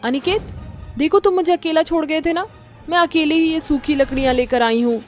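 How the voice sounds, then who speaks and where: A man's voice: very high voice, brisk, faint music behind, pitched above the performer's own voice. A man voicing a plaintive young woman in a horror cartoon, with an exclaiming delivery. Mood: reproachful.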